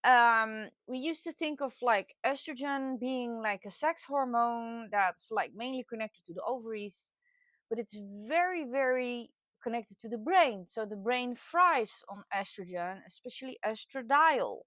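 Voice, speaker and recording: A woman in her late forties from the Netherlands with a Dutch accent, telling her own experience casually and candidly, often pausing to search for words. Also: on the high side, fairly bright tone, no echo. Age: late 40s. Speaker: vlogger sharing personal experience. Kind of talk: casual personal talk to camera.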